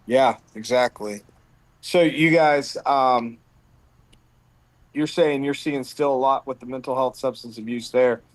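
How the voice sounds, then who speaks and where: A man's voice: medium pitch, fairly even intonation, measured pace, no room sound. A man in his late thirties who runs a rescue mission, talking earnestly and thinking aloud in a casual conversation outdoors. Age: 30s. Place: outdoors on a bench.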